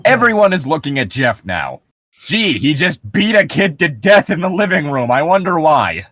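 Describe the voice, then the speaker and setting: Male podcast co-host, lively and swooping, fairly high-voiced, mock-incredulous, casual comedic read. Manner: animated, quick to laugh, mock-incredulous asides. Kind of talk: casual comedic reading and riffing on a story.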